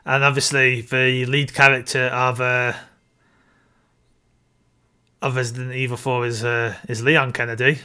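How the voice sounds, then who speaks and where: A man speaks in a bright, forward voice at a middling pitch. He is a podcast co-host in casual film discussion. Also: level tone, a little slow, long pauses, clean tone.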